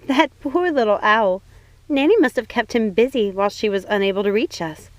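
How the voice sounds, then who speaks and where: A woman voicing a gentle, sweet young woman in an audiobook, speaking in an earnest, reassuring manner. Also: fairly high pitch, moderate pace, dry recording, pitched above the performer's own voice, close to an everyday voice. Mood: cheerful. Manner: soft-hearted, earnest, reassuring. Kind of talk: audiobook character voice.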